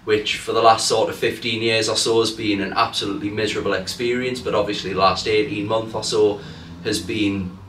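A man's voice: fairly low pitch, steady tone, a little fast, a bit bright. A man with a Geordie accent, chatting casually and jokingly as a guest football fan. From Newcastle, England. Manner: chatty, jokey, self-deprecating. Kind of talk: casual chat. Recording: roomy, distant mic, faint hiss.

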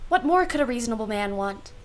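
Woman acting wry aunt, radio drama, slightly high voice, arch, formal, dry delivery. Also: expressive, fast talker, close to an everyday voice. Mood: musing, exasperated. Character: wry, world-weary aunt minding her young niece. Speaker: actress.

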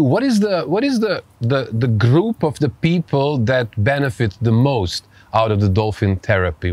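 A man's voice: mid-range voice, darkish tone, dry recording. A man in his forties from Serbia, hosting a podcast interview outdoors by a pool in English with a Serbian accent, hesitant and searching for words. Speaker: podcast host.

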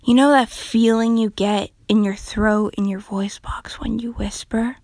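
A woman voicing a gentle, timid character who comforts animals, speaking softly and calmly in a breathy near whisper. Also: on the high side, unhurried, no room sound, clean studio sound, natural voice. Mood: gentle, hushed. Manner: calm, gentle, hesitant, comforting.

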